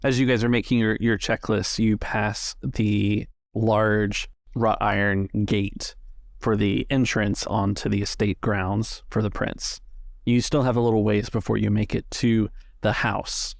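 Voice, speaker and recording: Low, creaky-voiced male game master. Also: level tone, a little slow, no echo, studio quality. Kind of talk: narrating a tabletop roleplay game.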